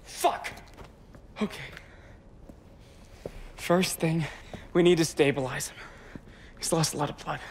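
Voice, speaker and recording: A man's voice: fairly high pitch, fairly even intonation, slow pace, pauses often, slight background noise. A man playing an earnest, worried young in a video game, speaking with urgent seriousness. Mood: worried, focused. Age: young adult. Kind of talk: video game cutscene acting.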